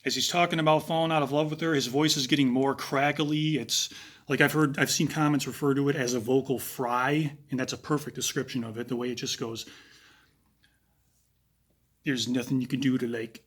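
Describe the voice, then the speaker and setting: A man with a raspy voice walks through a case in a relaxed commentary style. He thinks aloud, pausing and filling gaps as he goes. His pitch sits in an ordinary middle range. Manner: casual, thoughtful, with frequent pauses and fillers. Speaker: true crime commentator. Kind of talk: analytical video commentary.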